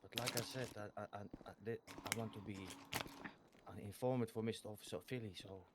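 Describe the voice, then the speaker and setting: A man's voice: lowish voice, steady tone, very noisy, close to an everyday voice. A man voicing a hapless civilian with a foreign accent who gives a rambling, stammering statement in an online roleplay game. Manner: halting and rambling, full of stammered repeats. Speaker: witness giving a statement.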